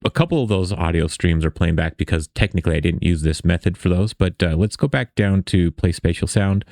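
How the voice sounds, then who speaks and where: A man's voice: sits low, gravelly, darkish tone, no room sound, studio quality. A man hosting a casual screen-share coding tutorial, explaining step by step in an informal, conversational way. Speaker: tutorial host.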